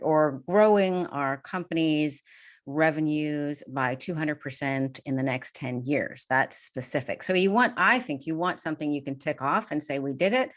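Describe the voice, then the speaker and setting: Low-pitched woman interviewer. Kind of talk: conversational interview, recapping and questioning.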